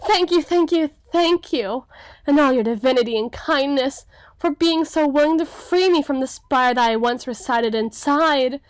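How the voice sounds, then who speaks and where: A woman's voice: sits high, moderate pace, no room sound, close to an everyday voice. A woman playing a young, melancholy, self-doubting storybook damsel in an ASMR fantasy roleplay, with theatrical, hesitant, old-fashioned formal phrasing. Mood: overjoyed gratitude.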